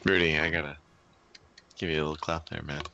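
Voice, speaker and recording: A man's voice: very deep voice, level tone, fairly quick, vocal fry, lo-fi sound, background noise. A man chatting in a casual, joking way as a player in a tabletop game. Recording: voice chat with faint keyboard clicks.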